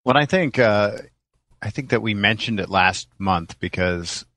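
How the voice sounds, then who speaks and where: A man's voice: fairly low pitch, expressive, measured pace, fairly bright tone, no room sound. A man chatting casually as the co-host of a podcast. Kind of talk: casual chat.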